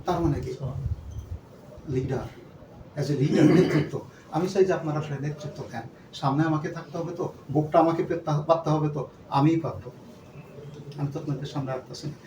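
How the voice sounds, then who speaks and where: A man's voice: fairly high pitch, moderate pace, pauses often. A man speaking earnestly to a gathering of shareholders as their company leader, repeating himself and dropping in English words. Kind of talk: speech to a gathering. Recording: echoey room, distant mic, background noise.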